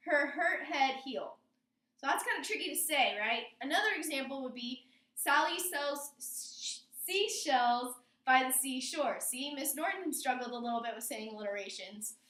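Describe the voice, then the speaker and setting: A woman teacher with a very high voice explains things to a class and often checks in with questions. She is recorded in an echoey room, some way from the microphone. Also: pushed and bright. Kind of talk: classroom lesson on figurative language. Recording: roomy echo, distant microphone.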